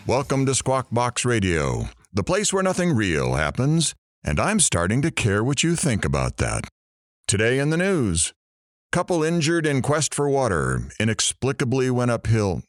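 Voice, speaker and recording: A man's voice: mid-range voice, wildly swooping pitch, moderate pace, no room sound, clean studio sound. A man playing a wisecracking radio DJ in an audio drama, joking and sarcastic. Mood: snarky. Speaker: radio talk show host.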